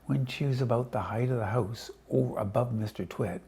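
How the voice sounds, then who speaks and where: Husky man reading a children's story. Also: mid-range voice, normal speed, dark tone. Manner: conversational, chatty asides to listeners. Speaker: storybook reader.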